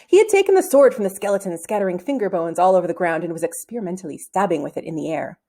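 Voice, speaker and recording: A woman reading a children's novel aloud as an expressive storybook narrator. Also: on the low side, racing. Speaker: storybook reader.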